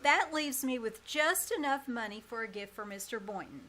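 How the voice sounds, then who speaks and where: A woman's voice: fairly high pitch, lively intonation, moderate pace, bright, forceful tone, a little hiss or hum. A woman playing a wry, sarcastic schoolteacher short of money, with dry, exasperated wisecracks in 1950s radio comedy style. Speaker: stage actress in a radio troupe. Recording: live theater stage, some ambient sound.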